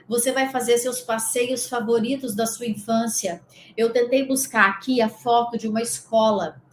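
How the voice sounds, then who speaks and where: A woman's voice: fairly high pitch, steady tone, normal speed, echoey room, a little hiss or hum. A Brazilian woman from the interior of Espírito Santo, a spiritual coach giving a casual guided lesson, talking straight to the listener in a rambling, chatty way. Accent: Brazilian Portuguese. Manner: conversational, rambling, speaks directly to the listener.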